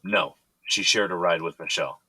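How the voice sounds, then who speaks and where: Man playing a deep-voiced, composed businessman. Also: normal speed, pushed and bright, breathy edge, no room sound, heavily acted, poor audio. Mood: guarded, defensive. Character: composed businessman under police questioning, evasive, polite. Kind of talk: audio drama dialogue.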